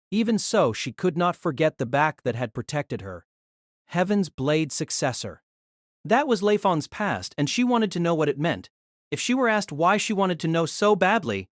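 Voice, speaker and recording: A narrator telling a fan audio drama story in an expressive storytelling manner. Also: mid-range voice, dry recording, clean studio sound.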